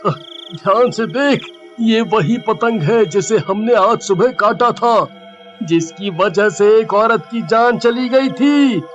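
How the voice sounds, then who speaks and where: A man's voice: high-pitched, slow. A man voicing a panicky, complaining villager in a comic horror cartoon story, whiny and pleading. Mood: irritated, scared. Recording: dull, lo-fi with music. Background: music.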